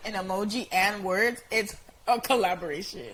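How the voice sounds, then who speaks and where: A woman co-hosting a casual podcast chat, blunt and teasing with quick comebacks. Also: mid-range voice, fairly bright tone, low-quality recording. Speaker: podcast co-host.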